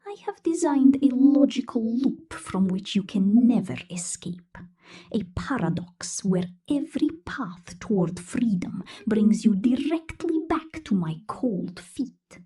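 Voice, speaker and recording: A woman's voice: a little high, expressive, measured pace, warm tone. A woman voicing a possessive, menacing female robot drone in a whispery, intimate ASMR roleplay. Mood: controlling, intimate. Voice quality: breathy, whispery.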